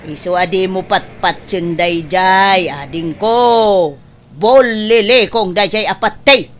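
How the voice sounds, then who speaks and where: A woman playing a sharp-tongued, teasing woman in an Ilocano family comedy, scolding with drawn-out exclamations. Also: medium pitch, natural voice. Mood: insistent. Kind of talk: comedy drama acting.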